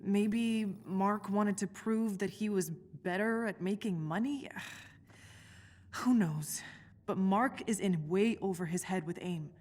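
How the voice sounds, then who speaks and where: Worried young biochemist, reverberant sound. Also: female voice, medium pitch, slow pace, darkish tone. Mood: troubled. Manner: candid, casual, thoughtful. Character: worried but resolute young female biochemist. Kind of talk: naturalistic video game voice acting.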